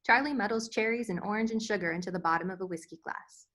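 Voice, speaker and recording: Female narrator for screenplay read, clear-cut bright, forceful tone, medium pitch, scripted delivery. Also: quick pace. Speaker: stage-direction narrator.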